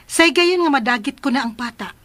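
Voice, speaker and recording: A woman's voice: high-pitched, lively intonation, fairly quick, a bit bright. An actress in a radio drama playing a greedy, complaining female accomplice, sly and brazen. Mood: scheming.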